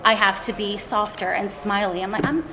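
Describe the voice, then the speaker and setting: A woman's voice: mid-range voice, moderate pace, sharp tone, slight background noise. A woman on a podcast panel who talks in a blunt, straightforward way. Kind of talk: casual panel discussion. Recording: long reverb in a live room.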